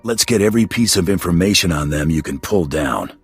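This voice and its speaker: A man playing a gruff, menacing bounty hunter in a video game, curt and blunt, with a gravelly, husky voice. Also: sits low, expressive, fairly quick, no room sound, soft background music, heavily acted. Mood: businesslike. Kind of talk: video game cutscene acting.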